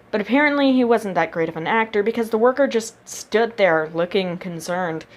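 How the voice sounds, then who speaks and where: A woman reading a story aloud as a narrator, voicing the character's thoughts expressively. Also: medium pitch. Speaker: amateur audiobook narrator reading fan fiction.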